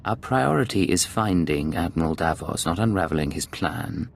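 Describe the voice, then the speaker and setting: A man's voice: low voice, expressive, moderate pace, creaky, a little hiss or hum. An actor playing a cool, hard-edged male imperial secret agent in a video game. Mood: cool, decisive.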